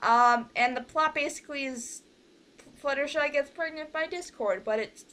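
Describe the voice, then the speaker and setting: A young woman with a fairly high, very bright voice hosts an informal online chat. She rambles in a giggly way and often cuts herself off to start again. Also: moderate pace. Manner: rambling, giggly, self-interrupting. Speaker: host of an online fan project meeting. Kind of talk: casual group call chat. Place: online group voice call.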